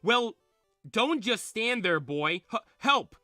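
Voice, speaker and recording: A man's voice: high voice, animated, normal speed, pushed and bright, dry recording, studio quality, soft background music, voice pushed up. A man voicing a folksy, blustering rat creature with slangy, drawling speech, retelling a comic book. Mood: alarmed, scolding.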